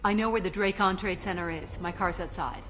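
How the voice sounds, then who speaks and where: Cold female covert operative for a sci-fi game woman acting: lowish register, smug and sardonic, clipped lines, clear music underneath. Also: fairly quick, close to an everyday voice. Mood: cool, probing. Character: cold, cocky female special-agent operative, secretly treacherous.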